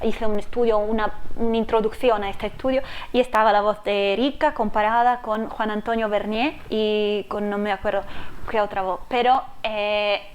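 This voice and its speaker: A woman's voice: mid-range voice, fairly even intonation, moderate pace, a little hiss or hum. A woman researcher answering audience questions about her project in a hesitant way, with many fillers and restarts. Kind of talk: academic talk, answering questions.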